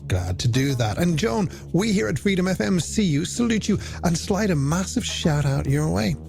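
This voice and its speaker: A man's voice: high-pitched, very animated, moderate pace, creaky, a little mellow. An Irish man presenting a late-night music radio show in a polished, theatrical way with flowery phrasing and rhetorical questions, over a music bed.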